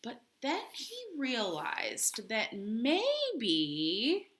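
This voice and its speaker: A woman reading a children's picture book aloud expressively, with dramatic pauses, chatty asides and questions for her young listeners. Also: on the high side, huge pitch swings, fairly bright tone. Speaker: children's read-aloud host.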